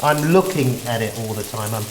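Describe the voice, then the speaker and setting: Man, cooking show co-host, playful joking manner, echoey room, loud frying behind. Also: medium pitch, brisk, noisy background. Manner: playful, jokey banter. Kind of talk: casual cooking demonstration. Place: kitchen. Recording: echoey kitchen with sizzling pan.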